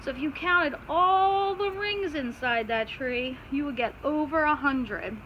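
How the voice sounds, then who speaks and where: A woman's voice: sits high, a little slow, highs cut off. A woman teacher reading aloud to children, stopping to explain simply and put questions to her listeners. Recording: clear background noise.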